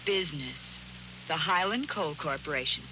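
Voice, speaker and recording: A woman's voice: low voice, expressive, moderate pace, sharp tone. A woman acting a sultry, flirtatious, evasive widow in a languid, coy manner, in 1940s radio drama style. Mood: coy, guarded. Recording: noisy, humming 1940s radio recording.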